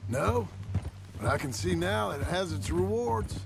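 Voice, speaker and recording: An actor playing a gruff, dryly wry American rancher and gunslinger in a Western video game, with a gravelly voice. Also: male voice, high voice, expressive, a little slow, music in the background, exaggerated voice. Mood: dry, amused.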